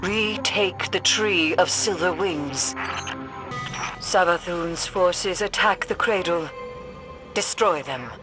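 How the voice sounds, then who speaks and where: Grave game mystic, low voice, slow solemn delivery, portentous, commanding, music behind. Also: female voice. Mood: solemn, urgent. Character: stern, ominous mystic woman, grave and scornful. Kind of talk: video game character acting.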